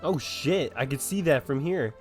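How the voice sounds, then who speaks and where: A man giving casual, halting live reactions while he plays a video game. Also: a little high, lively intonation, faint music behind. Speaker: gaming streamer.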